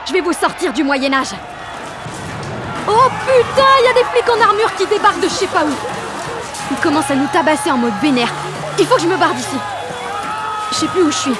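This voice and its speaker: A woman playing a brash, excitable sixteen-year-old girl with grandiose ideas, narrating an audio drama in slangy, dramatic first person. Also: sits high, a little fast, long pauses, noisy background. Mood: frantic. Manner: slangy, cocky, dramatic teenage delivery. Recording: music and street crowd behind the voice.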